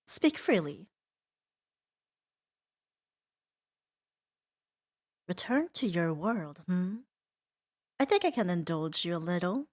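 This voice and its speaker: A woman voicing a seductive, possessive female demon in an intimate ASMR roleplay, teasing and soothing with soft dominance. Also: medium pitch. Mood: teasing, businesslike. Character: seductive, possessive female demon doting on her new pet.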